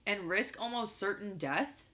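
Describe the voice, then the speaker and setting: An insistent, precocious boy from a children's novel, played by a woman reader. The voice is forceful and very bright, and he argues his case stubbornly. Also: mid-range voice, animated, measured pace, voice pushed up. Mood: protesting. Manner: insistent, argumentative. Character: young boy Dashiell, precocious, stubborn moon-base kid.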